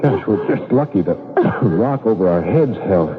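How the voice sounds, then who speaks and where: Tinny vintage radio sound with long reverb carries a man acting as an earnest hero. He is plucky and plain-spoken, with a lively rise and fall to his voice. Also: lowish voice, moderate pace, natural voice. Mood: relieved, matter-of-fact. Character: earnest, plucky male hero, murdered professor's son. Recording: tinny, echoing old radio recording.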